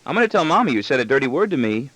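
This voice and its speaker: Man putting on a high-voiced, bossy little boy. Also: big pitch swings, very fast, fairly bright tone, no echo, poor audio. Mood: threatening, playful. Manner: indignant, insistent, childlike pestering. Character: small boy, bossy, argumentative, cheeky young son.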